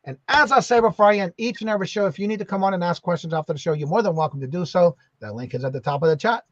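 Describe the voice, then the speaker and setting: A man's voice: high-pitched, racing, no room sound, dull audio. A man hosting a casual live stream, chatty and full of fillers, saying y'all a lot. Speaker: host.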